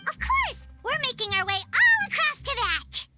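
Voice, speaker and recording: Tiny bubbly game fairy, squeaky high, animated, excitable, music behind. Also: female voice, normal speed, full character voice. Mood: excited. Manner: exclaiming, blurting, speaks of self in third person. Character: small bubbly excitable childlike fairy companion girl. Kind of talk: video game voice acting. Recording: background music under the voice.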